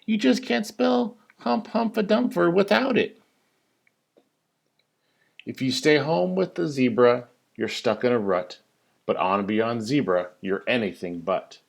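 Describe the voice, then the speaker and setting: A man who works as a teacher reads a children's story in rhyming verse, with an expressive, sing-song delivery that stresses key words. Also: medium pitch, measured pace, vocal fry. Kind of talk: animated storybook read-aloud of rhyming verse.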